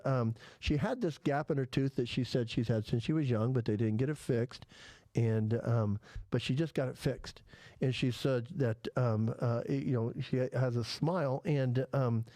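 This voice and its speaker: Male podcast host, lisp, mellow dark tone, dry close sound, loose rambling delivery. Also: medium pitch, no echo. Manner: rambling, with many restarts and fillers. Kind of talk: casual chat.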